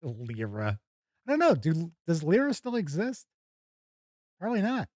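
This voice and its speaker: American male host, higher-pitched voice, very animated delivery, dry close sound, jokey gaming news chat. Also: unhurried, no echo. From United States. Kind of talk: live news commentary with casual chat asides.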